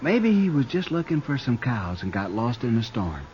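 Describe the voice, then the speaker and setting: An actor playing a scrawny, simple young outlaw underling in a radio western, nervous, hesitant and whiny. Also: male voice, mid-range voice, sing-song, moderate pace, darkish tone, natural voice. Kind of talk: old-time radio western acting. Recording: dull, hissy old radio recording.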